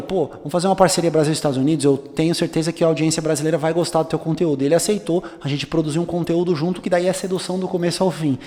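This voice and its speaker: A young man talks through his advice in a loose, coaching way, full of fillers and restarts. His voice sits a little high, and a long reverb tail hangs behind it in the studio. The feel is relaxed podcast conversation.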